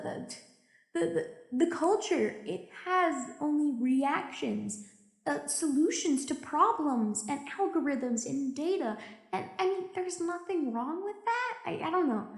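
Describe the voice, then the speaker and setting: A woman acting a lonely, anxious, jaded deep-sea station survivor in an audio drama, rambling and stammering through a confessional recorded log. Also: heavily acted. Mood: brooding, lonely, then hesitant, uncertain. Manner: rambling, halting, stammering, self-interrupting. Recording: echoey room.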